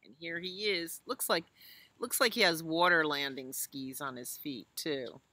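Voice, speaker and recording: Bright-voiced older American woman, unhurried storyteller. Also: sits low, no room sound. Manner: simple, explanatory, asking questions of young listeners. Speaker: children's librarian reading storytime. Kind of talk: reading a picture book aloud to young children, with chatty asides. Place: outdoors in a leafy garden.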